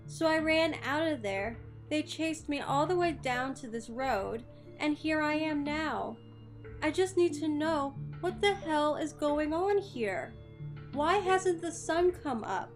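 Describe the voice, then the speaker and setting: A woman playing a shaken girl survivor in an audio horror story, with drawn-out delivery. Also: high voice. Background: music.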